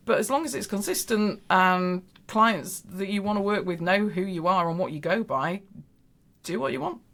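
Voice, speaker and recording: Woman guest on a podcast, sure of herself, talks through advice, mid-pitched voice. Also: normal speed, a bit bright. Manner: confident, advice-giving, with restarts. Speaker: podcast guest, branding business owner. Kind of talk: casual podcast chat.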